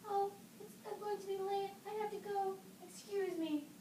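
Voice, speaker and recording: A woman's voice: very high voice, fairly even intonation, moderate pace. A woman voicing a sweet, innocent little girl in a fairy-tale puppet show, with a childlike, singsong delivery. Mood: cheerful. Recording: distant roomy recording with clear noise.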